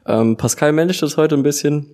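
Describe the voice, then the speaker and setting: A young man co-hosting a student podcast, relaxed and casual. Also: a little high, brisk. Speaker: co-host.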